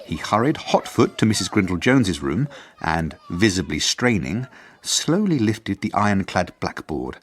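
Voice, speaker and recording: A man's voice: sits low, sing-song, normal speed. A man playing an earnest, formal, mild-mannered head teacher, narrating letters and journal entries in a radio drama. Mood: dry relish.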